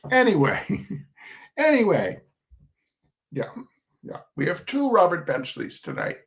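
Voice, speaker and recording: A man giving an informal reading of humorous essays, with a droll, chatty manner and asides to his listeners. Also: on the high side. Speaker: reader of short humorous pieces.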